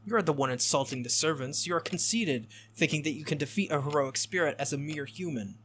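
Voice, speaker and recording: A man's voice: on the high side, brisk, a bit bright, slight background noise, natural voice. A man reading a visual novel aloud and voicing a proud, stern young female knight in a reproachful tone.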